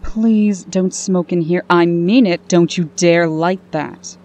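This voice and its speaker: A woman's voice: fairly low pitch, takes it slowly, darkish tone. A woman playing a strict, commanding, no-nonsense official and elder sister in a comic dub. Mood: exasperated.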